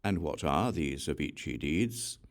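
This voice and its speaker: A man reading the part of a serene, authoritative Buddha who teaches solemnly and deliberately in a scripture audiobook. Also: a bass voice, lively intonation, full character voice. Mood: grave teaching.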